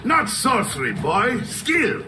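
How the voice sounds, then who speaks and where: A man's voice: very high-pitched, huge pitch swings, slow, heavily acted. A man playing a jovial middle-aged Italian uncle and bold swordsman in a video game, expansive and theatrical, with an Italian accent. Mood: bold, amused. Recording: distant-sounding voice under music.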